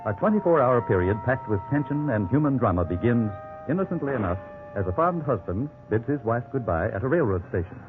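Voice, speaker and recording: Solemn American radio announcer, dramatically animated. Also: male voice, medium pitch, fairly quick, phone-quality sound. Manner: solemn, portentous. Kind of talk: dramatic 1950s radio narration. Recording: band-limited 1950s radio recording. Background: loud dramatic music.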